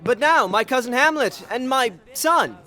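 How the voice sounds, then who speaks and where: A man's voice: very high-pitched, animated, moderate pace, sharp tone, echoey room, full character voice. A man playing a smug, jovial king addressing his court in a theatrical Shakespearean audio drama. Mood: jovial, grand. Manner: theatrical, declamatory.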